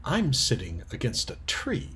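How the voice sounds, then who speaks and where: A sensible young schoolgirl put on by a man reader in a storybook. The voice is rough and breathy, with animated rises and falls. She is brisk and practical. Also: mid-range voice, voice pushed up, heavily acted. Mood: startled.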